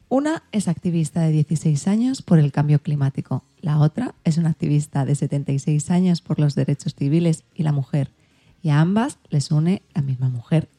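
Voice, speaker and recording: Podcast host, female, markedly warm and relaxed tone, scripted presenting. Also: low voice.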